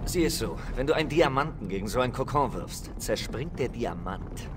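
An actor playing a young, wisecracking soldier squadmate in a video game. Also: male voice, mid-range voice, lively intonation, fairly quick, noisy background, close to an everyday voice. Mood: wry. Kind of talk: video game voice acting, German dub.

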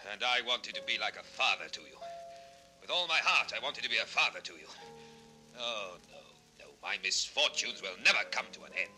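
A man's voice: on the low side, sing-song, fairly quick, sharp tone, faint music behind, exaggerated voice, rough recording. A man playing an embittered, deaf composer uncle in a vintage children's record drama, querulous, repetitive and given to abrupt outbursts. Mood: wounded, despairing. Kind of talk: 1960s children's record radio-play acting.

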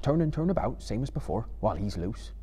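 A man acts out a loyal hobbit servant from a storybook. He is blunt and suspicious, with rustic phrasing and a husky edge to his voice. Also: on the low side, sing-song, fast, warm tone. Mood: distrustful.

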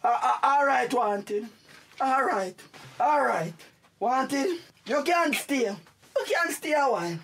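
An actor as a blustering, high-pitched Jamaican father. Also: expressive, slow pace, vocal fry, a bit bright, husky, exaggerated voice. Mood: stern. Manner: stammering, repeating words when flustered. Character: blustering, exasperated Jamaican father, man of the house. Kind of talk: radio drama acting in Jamaican Patois.

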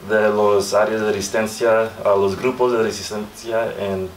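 A man in his thirties with an American accent, a native English speaker, giving an informal panel talk and haltingly searching for words. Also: lowish voice, level tone. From Southern California, United States. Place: small room with hard walls, indoors. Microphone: far camera microphone. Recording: distant mic, echoey room, faint noise.

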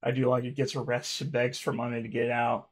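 A man in his thirties reading fan comments aloud on his channel, rambling and swearing, with a stutter he mentions himself, recorded by a webcam in a dark room at home. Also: mid-range voice, little pitch movement, fairly quick, a little hiss or hum. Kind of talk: casual reaction commentary.

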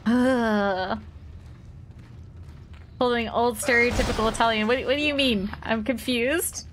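A woman's voice: on the high side, steady tone, unhurried, noisy background. A young woman streaming a game, chatting playfully with her chat as she plays. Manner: playful, chatty, talks to chat while playing. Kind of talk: casual gameplay commentary to chat.

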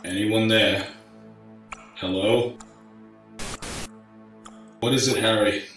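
An actor playing a weary, sarcastic, grumbling sewer maintenance worker in an audio drama. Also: male voice, lowish voice, fairly even intonation, slow, fairly bright tone, faint music behind. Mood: wary, shouting. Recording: echoey tunnel, distant from the mic.

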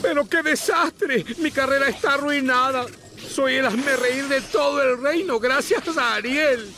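A male actor playing a fretful, dramatic crab court conductor in an animated game, exasperated and theatrical. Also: extremely high pitch, measured pace, noisy background. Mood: distraught. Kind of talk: animated game character acting.

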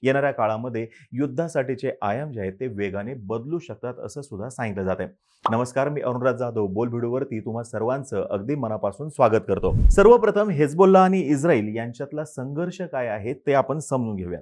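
A young man hosting a scripted news explainer, talking steadily with the odd filler pause. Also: medium pitch, lively intonation, darkish tone.

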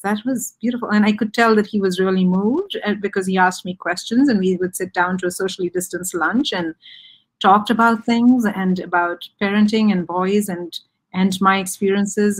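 A middle-aged woman from India, speaking reflectively as a guest author on a video call from a room with bookshelves, pausing to search for words. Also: mid-range voice, poor audio. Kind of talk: casual video-call conversation.

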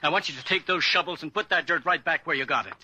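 A man's voice: fairly high pitch, fast, sharp tone, husky, heavily acted. A man playing a stern, bossy neighbour in a radio drama. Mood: stern, scolding.

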